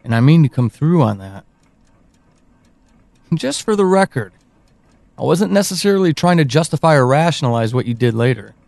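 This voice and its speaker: A man playing a teasing, evasive confidant in an audio drama, speaking slowly and deliberately with dramatic pauses. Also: a little high, animated, vocal fry, darkish tone. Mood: wry, teasing. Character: teasing, evasive confidant guiding someone through dark memories. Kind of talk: audio drama acting, intimate monologue and dialogue.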